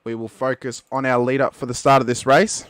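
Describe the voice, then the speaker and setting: A man giving lively play-by-play commentary on a sim race. He talks straight to the viewers and lifts his voice for the big moments. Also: medium pitch, a little fast, smooth, dry recording.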